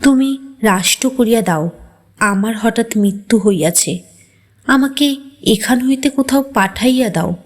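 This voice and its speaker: A woman acts out a distressed young bride in a classic audio story. She pleads slowly in formal, old-fashioned literary phrasing. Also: on the high side. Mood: desperate pleading.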